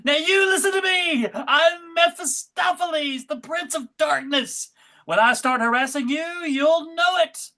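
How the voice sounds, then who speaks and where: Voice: sits high, a little slow, bright, forceful tone, exaggerated voice. An actor playing a pompous, slippery devil in a live comedy table read, given to indignation and bellowing. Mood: angry.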